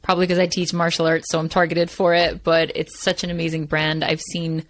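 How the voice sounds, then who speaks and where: A woman's voice: lowish voice, monotone, fairly quick. A woman hosting a podcast, upbeat and chatty, full of "like" and "right". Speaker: podcast host. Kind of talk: casual podcast interview.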